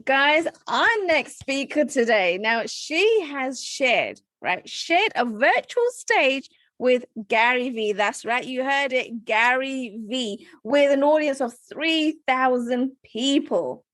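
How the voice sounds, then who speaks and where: A woman hosting a business podcast, upbeat and emphatic with quick asides. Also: high-pitched, animated, a bit bright, no room sound. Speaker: podcast host. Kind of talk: podcast interview.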